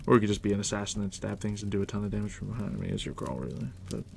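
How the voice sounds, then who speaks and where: Deep, gravelly, hushed man. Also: rapid-fire. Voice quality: deep, hushed near-whisper. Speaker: ASMR roleplay host, playing a dungeon master guiding a new player. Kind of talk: ASMR roleplay, softly spoken explaining. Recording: steady background noise, crackling fire ambience. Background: fire crackle.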